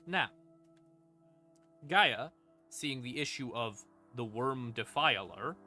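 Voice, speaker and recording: Male lore streamer, unhurried and theatrical, punchy bright tone, informal storytelling with dramatic pauses over faint ambient music. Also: medium pitch, expressive. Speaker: streamer explaining game lore. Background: eerie ambient music.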